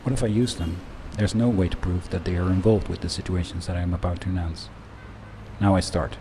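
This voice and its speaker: A man delivering a scripted first-person monologue in a measured, reflective way. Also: low voice, fairly even intonation, noisy background. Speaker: narrator.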